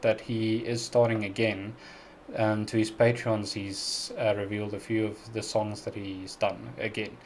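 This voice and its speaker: A man's voice: lowish voice, a little mellow, slight background noise. A South African man hosting a casual live-stream Q&A, chatty and earnest, who laughs often and pronounces his words very carefully. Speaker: music reaction YouTuber hosting a live stream.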